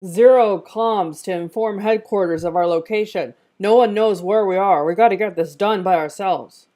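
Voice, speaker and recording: A woman's voice: medium pitch, normal speed, dark tone, natural voice. A commanding police captain, played by a woman at a table read. She speaks in terse, tough orders in a plain, unpolished script reading. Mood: urgent, commanding. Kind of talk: informal screenplay table read.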